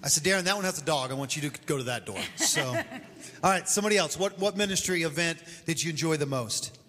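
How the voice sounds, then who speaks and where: A man's voice: a little high, fast. A man in his forties with a slightly raised voice leads a church group, loose and teasing, full of ums. He talks into a handheld mic. A long reverb trails behind him. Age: 40s. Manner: relaxed, joking, many fillers. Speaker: pastor. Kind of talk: leading a group debrief.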